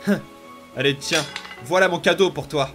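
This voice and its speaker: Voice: medium pitch, normal speed, fairly bright tone, close to an everyday voice. Unhinged demon boy played by an actor, comic dub: domineering, taunting, theatrical, music underneath. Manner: menacing, taunting, theatrical outbursts and mutters. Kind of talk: dramatic comic fan-dub acting.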